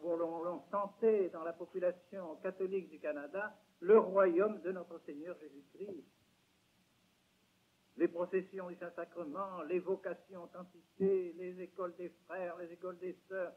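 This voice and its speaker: A man's voice: sits high, husky. An older man giving an emphatic religious lecture, with rhetorical questions and repeated words. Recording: tinny lo-fi 1970s lecture recording.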